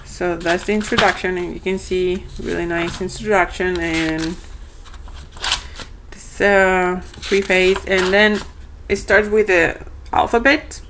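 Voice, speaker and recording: A young woman with an Argentine Spanish accent talks through a homeschool reading curriculum in a relaxed, chatty way. Her voice sits at an everyday pitch, and she often pauses with ums and fixes her own words. A faint hiss of background noise runs under her speech. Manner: chatty, with frequent ums and self-corrections. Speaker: homeschooling parent reviewing a curriculum. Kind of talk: casual product walkthrough.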